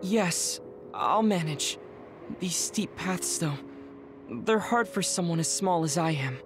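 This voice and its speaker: An actor playing a small, polite, earnest young squad member in an English game dub, with music underneath. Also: male voice, sits high, expressive, takes it slowly. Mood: weary, grateful.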